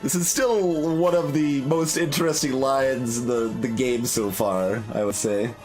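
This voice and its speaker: Guy doing game commentary, lots of uhs and restarts, mid pitch, some quiet music under it. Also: a little slow, rough recording. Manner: thinking aloud, many fillers and restarts. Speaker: let's play host.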